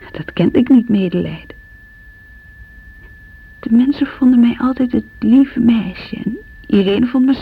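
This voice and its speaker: Wounded insecure woman, creaky voice, intimate confessional radio play monologue, dull recording, faint noise. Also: a little high.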